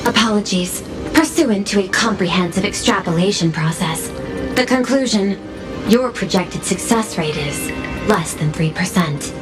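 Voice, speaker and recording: A woman's voice: medium pitch, moderate pace, lightly acted, low-quality recording. A woman voicing a coolly logical android analyst in a video game, reporting in a clinical, formal way with clipped labels before statements. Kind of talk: scripted game dialogue. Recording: game audio under loud music.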